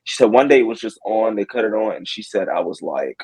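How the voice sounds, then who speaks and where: A man's voice: on the low side, expressive, quick pace, darkish tone, slight background noise, poor audio. A man in his early twenties, a guest on a casual podcast, talking in a laid-back way full of "like". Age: 20s. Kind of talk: casual interview chat.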